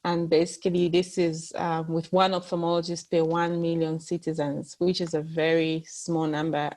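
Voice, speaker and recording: Low-voiced woman guest. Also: a little mellow. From Zambia. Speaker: interview guest, country director. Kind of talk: conversational interview answers. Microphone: video call.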